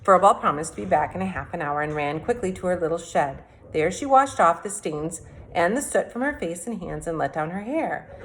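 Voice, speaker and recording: A woman's voice: lowish voice, expressive, brisk, few pauses. A woman reading a fairy tale aloud expressively, as a teacher would to children. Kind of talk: expressive storybook read-aloud.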